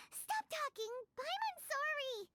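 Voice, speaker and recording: A woman voicing a small, childlike, excitable fairy companion in a video game, squeaky and chirpy. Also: very slow, heavy background noise, full character voice. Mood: panicked. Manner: squeaky, refers to self in third person.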